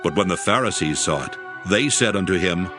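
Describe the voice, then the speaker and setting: A man narrates scripture in a steady, reverent manner, his pitch moving expressively through each sentence. Music plays clearly beneath him. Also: a little fast.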